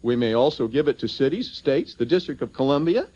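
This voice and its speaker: A man's voice: medium pitch, expressive, a little fast, mellow. A man speaking to an audience at a seminar, persuasive and emphatic, telling anecdotes and posing rhetorical questions. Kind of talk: persuasive public seminar talk, addressing the audience. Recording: dull lo-fi seminar tape.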